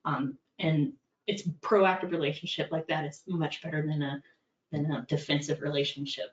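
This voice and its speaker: A woman's voice: deep voice, fairly even intonation, moderate pace. A middle-aged woman in a compliance role giving a candid, practical talk, with many fillers. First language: English. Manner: conversational, practical, with frequent fillers. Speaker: compliance executive presenting. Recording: far-off echoey dull-sounding room.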